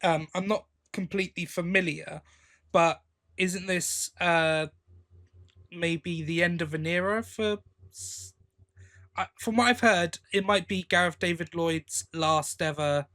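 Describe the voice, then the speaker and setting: A man with a slightly high voice talks as a podcast co-host. His delivery is casual and rambling, with hesitations and little questions tacked onto the ends of his thoughts. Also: fairly even intonation, slow pace, pushed and bright. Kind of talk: casual chat about audio drama releases.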